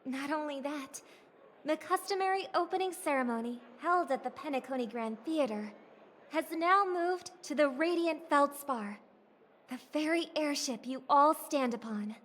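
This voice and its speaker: An actress playing a poised young singer idol who gives a measured, formal, gracious ceremonial speech in a game. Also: female voice, high-pitched, lively intonation, fairly bright tone, a little hiss or hum.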